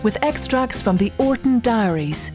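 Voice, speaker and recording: A woman's voice: a little high, expressive, unhurried, music in the background. A woman reading a scripted radio trailer as announcer.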